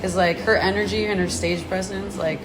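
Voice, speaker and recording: A young woman with a husky, rough voice, talking casually and slangily in a bar about her band, often laughing. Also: medium pitch, steady tone, moderate pace, low-quality recording. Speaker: band member. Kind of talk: casual chat.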